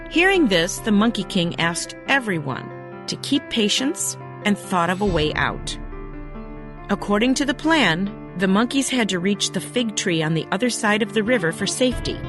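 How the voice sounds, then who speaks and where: A woman reading a scripted children's story as a narrator, in an expressive, deliberate storybook manner. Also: medium pitch. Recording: music behind the narration. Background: background music.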